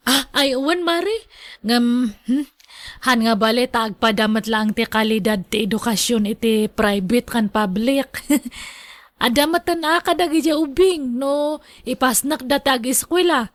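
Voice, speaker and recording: A woman playing a discontented, sharp-tongued village wife and mother in a radio drama. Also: on the high side, unhurried, no echo, clean studio sound, close to an everyday voice. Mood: chatty, gossipy.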